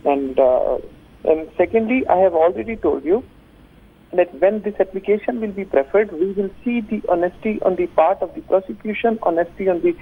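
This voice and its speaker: A man with a Pakistani accent, a lawyer in a live interview, speaking in formal legal wording, halting but insistent. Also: sits high. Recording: tinny, thin phone line.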